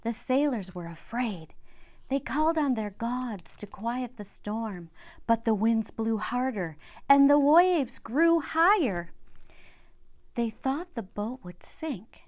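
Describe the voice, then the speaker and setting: A woman's voice: fairly high pitch, animated, slow. An older woman reads a children's Bible story aloud, speaking directly and simply to young listeners.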